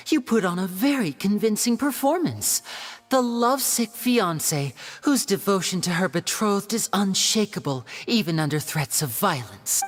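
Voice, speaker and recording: An actress playing a poised young female magician in a video game, speaking in a measured, teasing, theatrical way. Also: medium pitch. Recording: game audio with reverb and music.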